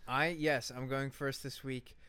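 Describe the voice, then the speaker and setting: A man's voice: mid-range voice, level tone, a little slow, bright, forceful tone, smooth, dry recording. A man co-hosting a podcast, telling stories in a casual, irreverent, joking way with some swearing. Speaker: podcast co-host. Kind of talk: casual comedic storytelling.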